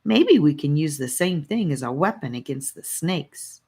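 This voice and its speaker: A woman voicing a serious, self-reliant boy who plans ahead, firm and measured, in an audiobook. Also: sits low, animated, a little mellow, husky, heavily acted. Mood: thoughtful. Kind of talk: audiobook character voice.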